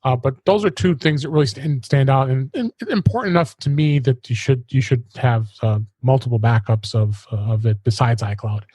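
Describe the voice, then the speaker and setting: A man's voice: mid-range voice, moderate pace, hardly any pauses, dark tone, breathy edge, no echo. A man hosting a casual tech podcast, rambling along and often repeating words as he restarts his sentences. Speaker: podcast host.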